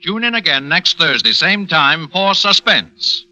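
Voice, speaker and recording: A man's voice: a little high, sing-song, measured pace, bright, forceful tone, no room sound, poor audio. A man reading closing announcements in a formal, projected 1940s radio style. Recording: vintage 1940s radio broadcast.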